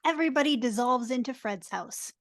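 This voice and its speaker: A woman's voice: high voice, a little fast, fairly bright tone, no echo, studio quality. A woman narrating a script in a live dramatic reading. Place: remote video call at home. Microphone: video call microphone.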